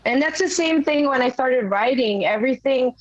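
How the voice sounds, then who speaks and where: A young woman from Sweden with a naturally raspy voice, a rock singer chatting casually in an interview, rambling with many fillers. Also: high voice, expressive, normal speed, muffled recording. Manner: rambling, lots of "like" and "you know". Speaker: rock vocalist guest.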